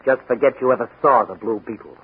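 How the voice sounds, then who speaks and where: A man's voice: medium pitch, fast, breathy edge. A man playing a tough, confident young masked crimefighter in a 1940s radio drama, American accent, commanding and wry. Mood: brisk, self-assured. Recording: crackly, narrow-band 1940 radio recording.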